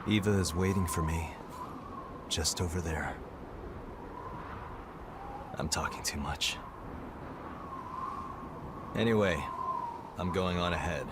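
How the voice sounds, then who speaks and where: An actor playing a grim, driven warrior in a video game cutscene, with a brooding, earnest delivery. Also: male voice, low-pitched, slow pace, long pauses, heavy background noise. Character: grim, driven male warrior devoted to a woman.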